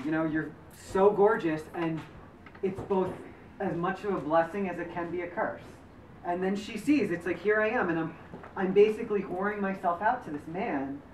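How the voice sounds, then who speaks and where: Chatty man answering questions far off. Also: high voice. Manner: rambling, full of fillers and restarts. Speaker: memoir author and lead actor. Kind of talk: post-screening Q&A answers. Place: community center screening room. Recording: echoey room from far off with background noise.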